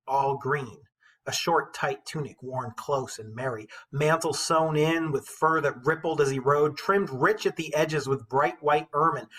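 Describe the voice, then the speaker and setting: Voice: mid-range voice, fairly bright tone, husky. A teacher reading a poem aloud with dramatic storytelling emphasis, breaking into casual, informal commentary.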